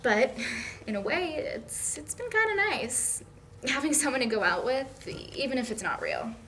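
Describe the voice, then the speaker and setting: A woman's voice: high-pitched, animated, moderate pace, a bit bright. A young woman acting a bashful, chatty female college student in a table read, a little hesitant and defensive. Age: young adult. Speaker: actor at a script table read. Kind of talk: casual dialogue read aloud from a script. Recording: noisy room recording.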